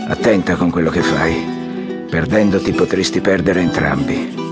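An actor playing an ailing, proud old exiled general, imperious and theatrical, in an audiobook drama. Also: male voice, sits low, normal speed, lightly acted. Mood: tender, firm. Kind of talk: audiobook radio drama acting. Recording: guitar music under the voice.

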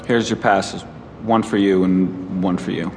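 An actor playing a polite, matter-of-fact check-in attendant at a pageant in an audio drama. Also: male voice, low voice, fairly even intonation, a little slow, darkish tone, big-room sound, a little hiss or hum. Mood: polite, routine.

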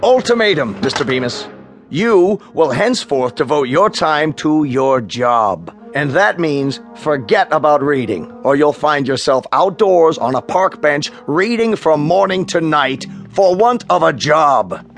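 A man playing a pompous, stern bank president in a radio drama, speaking in precise, emphatic, drawn-out phrases. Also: a little high, lively intonation, soft background music. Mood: threatening.

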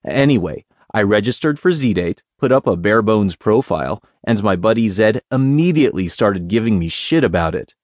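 A man's voice: on the low side, animated, moderate pace, hardly any pauses, darkish tone, no echo. An American man playing a lonely, self-deprecating zombie who tells his story wryly in an audiobook. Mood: wry, sheepish. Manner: wry, casual, self-deprecating. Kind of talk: first-person audiobook character narration.

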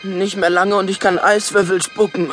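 An actor playing a plucky, excitable young boy dragon in a children's audio drama. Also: mid-range voice, normal speed, faint music behind, lightly acted. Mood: grumbling. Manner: excitable, indignant exclamations.

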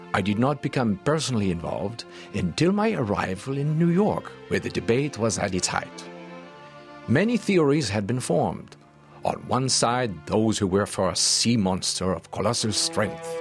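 An actor playing a French professor of natural history, narrating a dramatized audiobook in a formal, measured way. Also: male voice, fairly low pitch.

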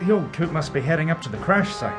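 A man's voice: a little high, expressive, fast, music in the background, natural voice. An actor playing an earnest, protective young fireman leading friends in an audio drama, with a commanding manner. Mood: determined. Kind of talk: full-cast audio drama acting.

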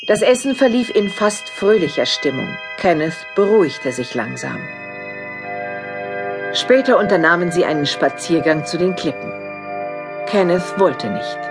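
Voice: mid-range voice, sing-song, a little slow, music in the background. A narrator reading a scripted horror story for an audio drama.

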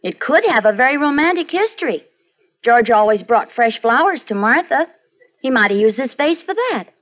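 A woman's voice: high voice, big pitch swings, normal speed. A woman playing a cheerful, coaxing wife in a 1940s radio sitcom, laughing often. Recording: lo-fi old radio with studio audience and hiss. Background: studio audience laughter.

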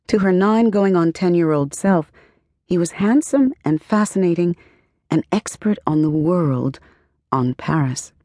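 A woman narrating a literary novel as an audiobook, with measured, expressive storytelling and careful phrasing. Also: deep voice, dry recording, clean studio sound. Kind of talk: scripted literary fiction narration.

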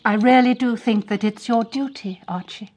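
An actress playing an admiring English middle-class wife in a radio drama, gushing and persuasive. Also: female voice, a little high, normal speed, a little mellow, natural voice. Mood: coaxing.

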